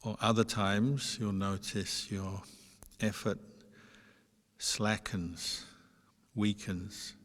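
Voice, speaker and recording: A man teaching meditation, giving guided instruction in a measured way with pauses between short phrases. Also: fairly low pitch, little pitch movement, a bit bright. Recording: long reverberant hall sound.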